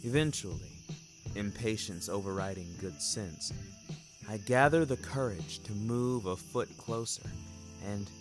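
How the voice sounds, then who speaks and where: Slow, measured male storyteller. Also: on the low side, over music. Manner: measured, literary phrasing. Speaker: narrator of fiction podcast. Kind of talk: scripted first-person storytelling.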